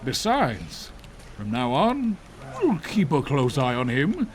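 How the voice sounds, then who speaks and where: A man's voice: medium pitch, wildly swooping pitch, slow pace. A man playing an authoritative, emphatic police chief in an audio drama. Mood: approving, firm.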